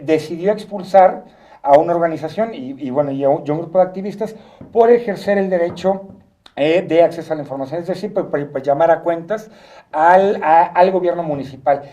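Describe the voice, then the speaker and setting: A Mexican man co-hosting a studio discussion, speaking haltingly and often checking for agreement at the ends of phrases. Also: fairly high pitch, warm tone, dull audio. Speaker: talk show co-host and free-speech advocate. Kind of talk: studio discussion, spontaneous commentary. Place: internet TV studio.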